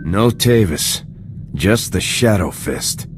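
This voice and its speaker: Heroic squad leader in a video game, deep voice, short commanding lines, faint noise. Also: male voice, lively intonation, takes it slowly. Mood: matter-of-fact. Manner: concise, commanding. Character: steady, resolute male squad commander, heroic trooper. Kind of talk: scripted video game cutscene acting.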